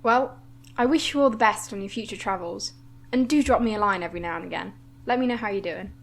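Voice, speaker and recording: A woman's voice: medium pitch, quick pace, lightly acted. A woman playing an affable, businesslike colony administrator in a science-fiction audio drama. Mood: genial, teasing.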